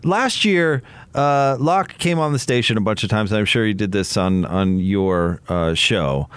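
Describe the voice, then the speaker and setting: A man's voice: lowish voice, lively intonation, unhurried, fairly bright tone, smooth, no room sound. An American man in casual sports radio discussion as a commentator, hesitating and repeating words while he builds his point.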